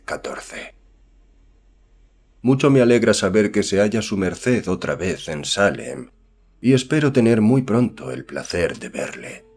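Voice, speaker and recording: A man voicing a secretive colonial occultist, reading his letter in formal, archaic, courteous diction for a horror audiobook. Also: on the low side.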